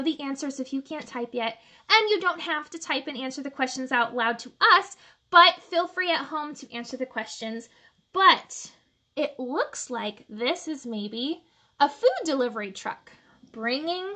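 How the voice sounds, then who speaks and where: A woman hosting a children's storytime, reading aloud expressively with chatty, encouraging asides. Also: sits high. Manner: expressive, encouraging, asks the listeners questions. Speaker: storytime host reading picture books to children.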